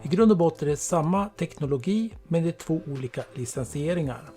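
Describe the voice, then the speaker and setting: A man presents a software product in a fairly high voice. His delivery is scripted and explanatory, kept plain and instructional. Faint music plays behind him. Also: expressive. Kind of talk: scripted product tutorial narration. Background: background music.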